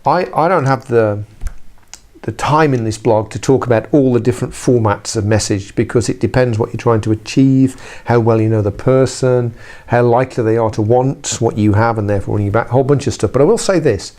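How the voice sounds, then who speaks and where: A man's voice: medium pitch, brisk, darkish tone. A middle-aged British man presenting an informal video blog as a trainer, chatty and self-correcting, with wry asides. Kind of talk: informal instructional talk to camera.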